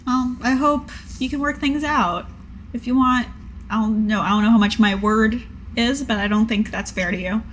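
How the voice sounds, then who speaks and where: A woman voicing an earnest, modest young female adventurer in a tabletop game, talking in a hesitant, gentle, casual way. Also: a little high, fairly even intonation, normal speed, a little hiss or hum, close to an everyday voice, rough recording. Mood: concerned, apologetic.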